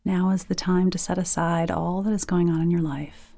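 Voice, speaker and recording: A woman leading a guided sleep meditation softly and gently, nearly whispering, in short phrases with pauses. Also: fairly low pitch, level tone. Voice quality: breathy, soft, near-whispered.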